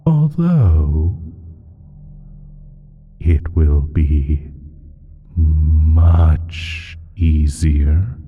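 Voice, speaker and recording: A man playing an ancient, sly, evil creature in an audio roleplay, teasing and taunting with drawn-out pauses in a husky, half-whispered voice. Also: extremely low pitch, muffled recording, slight background noise, heavily acted. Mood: coaxing, sly. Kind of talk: scripted audio roleplay monologue.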